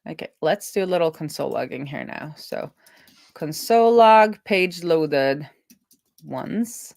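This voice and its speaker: A woman's voice: deep voice, lively intonation, a little slow, gravelly, darkish tone. A woman live-streaming as a web developer, casually thinking aloud as she talks through each coding step. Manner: thinking aloud, talking through steps to herself.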